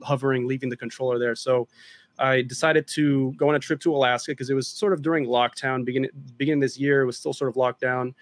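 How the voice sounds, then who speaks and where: A man chatting casually in an interview over a video call, explaining at length in a relaxed way. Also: medium pitch, quick pace. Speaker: drone filmmaker guest.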